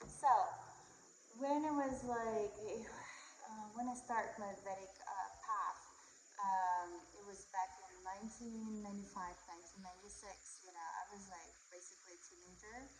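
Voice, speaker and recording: A woman, chatting casually to camera in a halting, rambling way. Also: medium pitch, fairly even intonation. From Latvia. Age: 40s. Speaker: Vedic astrology teacher. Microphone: headset. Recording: roomy echo with faint background noise.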